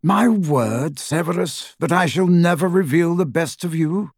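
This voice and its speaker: Audiobook role: cool, grave old wizard man performing, reproving yet composed, breathy-rough voice in a dry booth. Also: fairly high pitch, no echo, voice pushed up. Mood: reluctant. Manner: measured, cool, gently reproving. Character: calm, stern, wise old male headmaster wizard.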